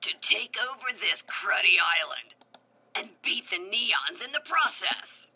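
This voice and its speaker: A greedy villain tiki boss bellows his demands, voiced by a woman. The voice is loud and bright, with a bossy snarl, and it swings widely in pitch. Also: expressive, slow pace, slightly rough, heavily acted. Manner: shouting demands.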